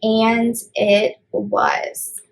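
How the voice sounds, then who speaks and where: A woman's voice: fairly high pitch, flat delivery, drawn-out words, vocal fry. A woman hosting storytime as a children's librarian, reading aloud and explaining things in simple phrasing for young children.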